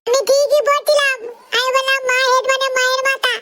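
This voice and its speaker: A very high, childish cartoon voice of a little schoolboy. He is stubborn and cheeky, argues back and pleads in a whine. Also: flat delivery, normal speed, very noisy. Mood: protesting. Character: cheeky, whiny little boy pupil, muddled and stubborn. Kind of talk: Bengali comedy cartoon dialogue.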